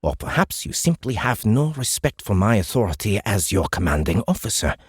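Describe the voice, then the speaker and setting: An actor playing a stern, sardonic senior male alien officer in an audiobook, with a gravelly rasp and a deliberate, cutting delivery. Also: on the low side, sing-song, no room sound. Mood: cold reprimand. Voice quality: gravelly, raspy. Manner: deliberate, cutting, drily mocking. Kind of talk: audiobook character acting.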